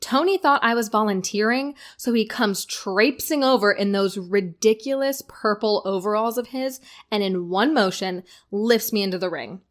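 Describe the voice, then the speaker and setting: A woman playing a wry, gently bossy young wife with a teasing, conversational manner in an audio drama. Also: mid-range voice, moderate pace, few pauses, natural voice. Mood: amused storytelling.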